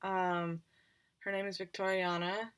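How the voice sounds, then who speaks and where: A woman's voice: fairly low pitch, level tone, a little slow, clear, resonant tone. A woman informally explaining things on a casual tabletop roleplaying livestream, thinking aloud as she goes. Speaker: tabletop roleplayer presenting characters.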